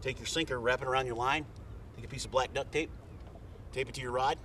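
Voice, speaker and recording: A man's voice: medium pitch, fairly even intonation, quick pace, pushed and bright. Brief: middle-aged man, plain folksy how-to talk, recorded from a few feet off outdoors, faint boat hum. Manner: plain, folksy, step-by-step instructions. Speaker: fishing guide. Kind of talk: casual how-to demonstration. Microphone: camera mic from a few feet away. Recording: distant outdoor camera audio with faint boat noise.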